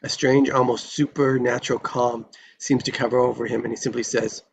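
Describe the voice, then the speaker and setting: A man's voice: medium pitch, little pitch movement, fairly quick, vocal fry, slight background noise. A man reading screenplay stage directions in a dramatic narrating style at a table read.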